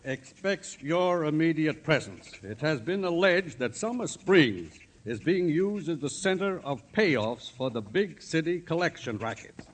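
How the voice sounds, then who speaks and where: An actor playing a pompous, domineering, decisive banker and civic leader in a 1950s radio drama. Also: male voice, fairly high pitch, very animated, measured pace, few pauses. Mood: commanding.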